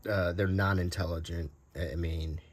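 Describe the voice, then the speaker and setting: A man hosting an unscripted show-and-tell, talking casually and thinking aloud in a rambling, unhurried way. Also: sits low, steady tone. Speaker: host showing vintage game cards.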